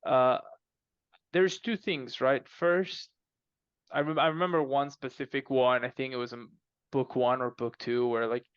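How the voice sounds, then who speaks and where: A man speaking as an interview guest in casual, halting conversation, repeating words as he explains. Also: medium pitch, fairly even intonation, clean tone, dry recording, muffled recording. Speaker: podcast guest, fiction co-author.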